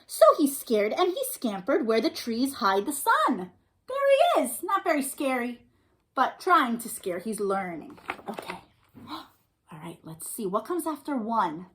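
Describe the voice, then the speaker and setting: A woman's voice: fairly high pitch, sing-song, unhurried, fairly bright tone. A woman hosting a storytime for young children, reading aloud and chatting in an encouraging, sing-song way with questions and praise. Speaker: children's storytime host and librarian.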